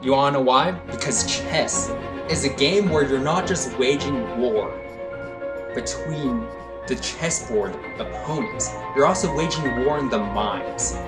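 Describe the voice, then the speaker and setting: A young man narrating a comedic storytime, swinging between hyped rants and low deadpan asides. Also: measured pace, loud music behind the voice. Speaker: storytelling vlogger.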